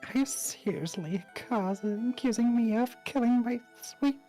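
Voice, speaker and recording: A woman's voice: on the high side, measured pace, dark tone, faint music behind, close to an everyday voice. A woman voicing a sugary, wounded young woman accused of murder in a courtroom video game, plaintive and melodramatic. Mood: sweetly hurt. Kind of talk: amateur voice acting of a video game.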